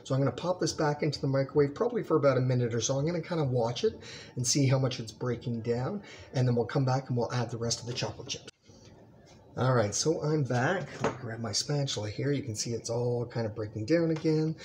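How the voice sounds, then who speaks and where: A middle-aged man giving a casual cooking demonstration in his home kitchen, relaxed and full of ums as he stirs, with clatter behind him. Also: medium pitch, fast, background noise. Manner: relaxed, talks while stirring, many ums. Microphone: built-in camera mic, close framing.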